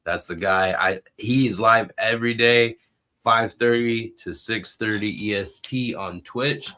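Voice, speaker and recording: A man's voice: lowish voice, measured pace, sharp tone. A man chatting casually as a podcast co-host and livestreamer. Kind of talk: casual chat.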